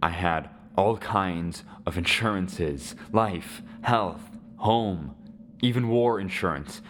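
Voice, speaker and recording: A man playing a lonely, resigned Syrian widower in his seventies in a dramatic podcast monologue, halting and reflective. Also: low-pitched, fairly bright tone, slight background noise. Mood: bitter, weary. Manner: slow, halting, reflective delivery with weighty pauses.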